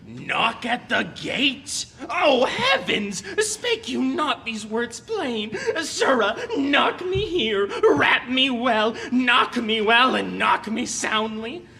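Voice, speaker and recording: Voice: mid-range voice, sing-song, slow pace, vocal fry, hardly any pauses, a crowd in the background, heavily acted. An actor playing a cheeky, flustered manservant in a theatrical Shakespearean audio play, exclaiming indignantly. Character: cheeky, flustered male servant pleading with his master.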